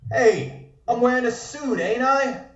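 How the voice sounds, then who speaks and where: Man playing a cocky 1920s hustler, slow drawn delivery. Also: extremely high pitch. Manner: slangy wisecracking. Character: cocky, shabby smooth-talking 1920s boozer with cane. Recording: dull, roomy, distant microphone.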